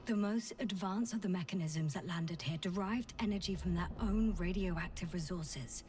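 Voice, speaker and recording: A woman playing a composed scientist in a video game, explaining with patient, measured and analytical precision. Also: lowish voice, a little mellow, lightly acted. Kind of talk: video game dialogue acting.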